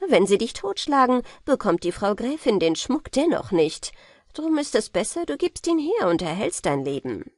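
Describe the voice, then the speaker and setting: A woman reading an audiobook, putting on a lower voice for a cheerful, unbothered male journeyman smith who teases his fearful companion. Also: normal speed, no echo, studio quality. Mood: brushing off. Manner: calm, mocking, reassuring, lively intonation. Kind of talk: audiobook character voice.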